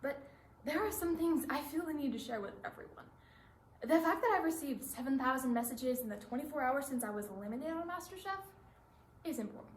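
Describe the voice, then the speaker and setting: A woman's voice: high voice, animated, fairly quick. A woman performing in a readers theatre piece with rehearsed, theatrical delivery. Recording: roomy stage recording with background hiss.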